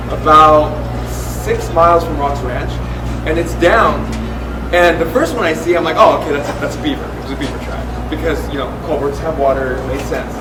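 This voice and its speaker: Man on a tracking panel at a live Q&A, chatty storyteller, higher voice, echoey hall, off-mic with background noise. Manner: chatty, lots of "like" and self-restarts. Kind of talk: animated storytelling answer. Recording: echoey room, distant mic, noisy.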